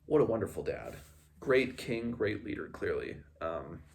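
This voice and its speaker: Male host for a fantasy book recap, low creaky voice, casual and halting delivery. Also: very animated. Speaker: host of a book read-along. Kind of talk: casual book recap with read-aloud passages.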